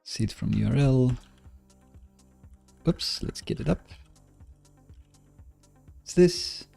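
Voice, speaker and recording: A man casually commentating as he codes live, musing and questioning himself in a halting way. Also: medium pitch, animated, vocal fry, warm tone, slightly rough, faint music behind. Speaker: live-streaming programmer host. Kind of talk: casual live coding commentary, thinking aloud.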